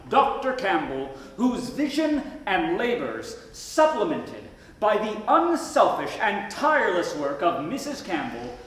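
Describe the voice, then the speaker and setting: An actor playing an earnest, dignified 1920s small-town lawyer giving a solemn, measured dedication speech on stage. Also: male voice, a little high. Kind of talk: formal ceremonial oratory on stage. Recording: very echoey hall with long reverb.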